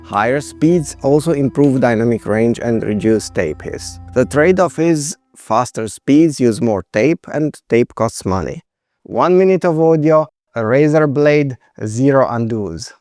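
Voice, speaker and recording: Measured male explainer voice over music. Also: mid-range voice, lively intonation, a little mellow, dry recording. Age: 30s to 40s. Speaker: video presenter. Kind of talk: scripted explainer narration.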